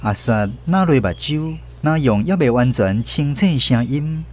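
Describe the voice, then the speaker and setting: A man's voice: medium pitch, animated, a little slow, a little mellow. A man with a Taiwanese Hokkien accent reading scripted narration for a storybook radio drama. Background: guitar music.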